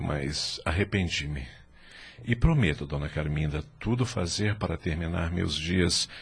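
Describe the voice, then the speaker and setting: An actor playing a remorseful, earnest husband seeking redemption in a radio drama, with a gravelly, husky voice. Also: male voice, sits low, full character voice. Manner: solemn, earnest.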